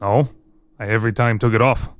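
A woman's voice: a bass voice, steady tone, a little fast, no echo, studio quality. A woman playing a male immigrant prisoner who confesses in terse, simple broken English, in a dramatic reading. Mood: flat, evasive. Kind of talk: dramatic reading of an interrogation transcript.

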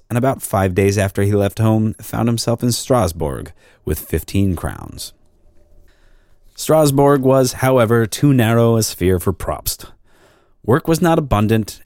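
A man reading an old crime story as narrator, in a measured, formal storytelling manner. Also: lowish voice, a little mellow, no echo, clean studio sound.

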